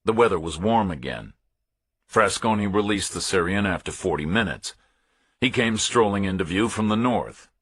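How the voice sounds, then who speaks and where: A man narrates a thriller audiobook in a very low, raspy voice. His delivery is measured and matter-of-fact, and the sound is dry and close. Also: animated, a bit bright, no echo. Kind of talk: scripted first-person thriller narration.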